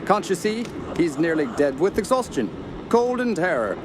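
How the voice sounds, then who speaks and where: An actor playing a stern, rational, authoritative uncle with a formal, insistent manner in a radio horror drama. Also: male voice, high voice, very animated, normal speed. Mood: urgent insistence. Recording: clear outdoor ambient noise behind.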